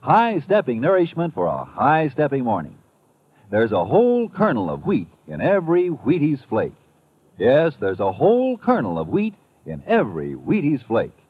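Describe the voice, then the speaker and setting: A thin, phone-like vintage radio recording of a male commercial announcer. His voice is rough-edged and swings widely in pitch as he gives a punchy, upbeat sales pitch. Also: wildly swooping pitch, normal speed. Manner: punchy, emphatic sales delivery.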